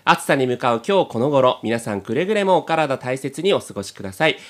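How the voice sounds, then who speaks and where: ポッドキャストのホストの男性が、丁寧で遊び心のある口調で雑談しながらお便りを読み上げている。. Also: male voice, medium pitch, animated, brisk. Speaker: podcast host reading listener letters. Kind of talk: chatty banter and reading letters aloud.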